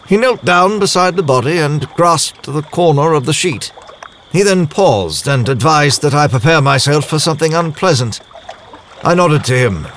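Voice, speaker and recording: An actor playing a genteel, proud nobleman diplomat, recounting his story in formal, measured language for an audio drama. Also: a little high, fairly bright tone, a little hiss or hum. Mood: apprehensive, measured. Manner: formal, measured, literary diction. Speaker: voice actor, lead role. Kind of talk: first-person audio drama narration.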